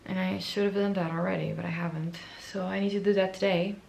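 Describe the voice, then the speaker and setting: A woman in her twenties chatting casually to camera in a book vlog. Her voice is raspy and hoarse from allergies, and she is chatty, excitable and self-mocking. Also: fairly low pitch, fast talker, a little hiss or hum. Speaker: book vlogger.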